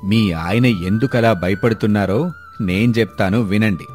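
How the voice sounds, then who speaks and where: A man playing a steady, shrewd village headman with a measured, authoritative manner in a moral story. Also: on the low side, soft background music, lightly acted. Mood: firm, accusing. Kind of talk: acted dialogue in a moral story.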